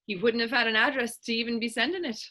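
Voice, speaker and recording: A woman's voice: fairly high pitch, fairly even intonation, fast talker, sharp tone. A woman playing a tough, proud teenage Irish orphan turned actress in a readers theatre drama, telling her story in lilting old-fashioned idiom with an Irish accent. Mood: stoic, reflective. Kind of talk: stage readers theatre acting in dialect.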